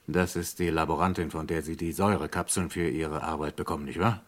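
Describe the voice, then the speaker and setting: An actor playing a polite but unyielding police inspector in a vintage radio crime drama, formal and probing. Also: male voice, a bass voice, fairly even intonation, fairly quick. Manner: formal, probing, coolly courteous.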